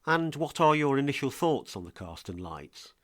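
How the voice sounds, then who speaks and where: A man's voice: mid-range voice, big pitch swings, normal speed, dry recording, clean studio sound. A man hosting a scripted podcast, narrating and putting interview questions to a guest.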